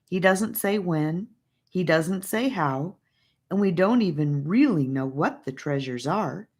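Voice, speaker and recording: A slow, deliberate boy leader from a storybook, acted out by a woman. He is stern and thoughtful, lecturing in drawn-out phrases with wide swings of pitch. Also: expressive, darkish tone. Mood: measured, cautious.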